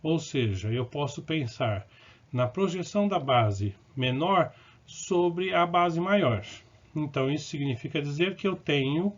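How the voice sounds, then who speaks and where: A man teaching math, explaining step by step and correcting himself as he goes, with short check-ins to the viewer. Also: a little high. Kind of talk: recorded lesson working through problems. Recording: dull, lo-fi recording.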